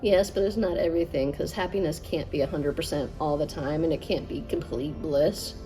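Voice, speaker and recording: A woman's voice: low voice, fairly quick, dark tone. A woman who works as a dining hall associate, answering interview questions frankly. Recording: distant mic with music underneath.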